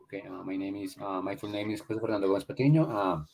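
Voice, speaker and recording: A man, introducing himself politely and haltingly in English class. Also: lowish voice, vocal fry, darkish tone. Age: 20s. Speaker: student.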